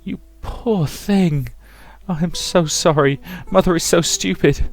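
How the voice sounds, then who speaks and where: A man's voice: on the high side, takes it slowly, vocal fry, voice pushed up. A man voicing an abusive, volatile mother in a visual novel, with theatrical, emphatic delivery. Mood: sobbing remorse. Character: abusive mother, volatile, domineering, cloying when remorseful. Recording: music behind the voice.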